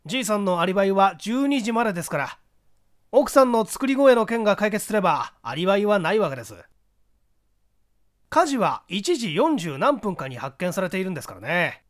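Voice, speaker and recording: A man's voice: sits high, big pitch swings, a little fast. A man playing a hasty, confident newspaper reporter in a mystery story, eagerly and emphatically laying out his deductions.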